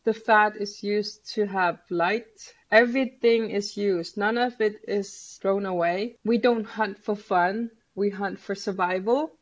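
A woman's voice: mid-range voice, steady tone, unhurried, hardly any pauses, lo-fi sound. A woman from Greenland with a Greenlandic accent, an interview guest speaking earnestly and plainly about her home. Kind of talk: conversational interview.

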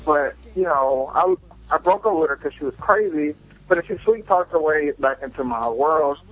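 A man's voice: fairly high pitch, normal speed, no echo. Muffled young man telling candid stories. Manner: candid, self-deprecating, profane. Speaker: listener calling a podcast voicemail. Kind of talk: casual personal storytelling. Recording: muffled lo-fi voicemail with music.

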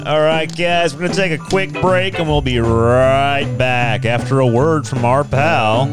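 A man's voice: mid-range voice, measured pace, over music. A man hosting a podcast, chatting casually and reading items out to his co-hosts. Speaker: podcast host.

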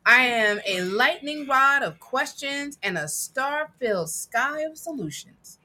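A woman reading a picture book aloud with emphatic, dramatic phrasing and deliberate pauses. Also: high voice, expressive, pushed and bright. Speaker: guest reader and producer.

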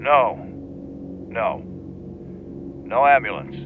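An actor playing a stern, devout father who refuses help, speaking slowly and insistently in an audio drama. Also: medium pitch, animated. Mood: adamant refusal. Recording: thin phone-line sound with background noise.

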